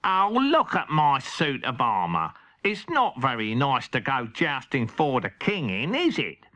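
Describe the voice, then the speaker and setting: Man doing a slow, fretful storybook cat. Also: mid-range voice, wildly swooping pitch, bright, forceful tone, heavily acted. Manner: drawn-out, complaining. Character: fretful, slow-spoken male cat who fancies himself a knight.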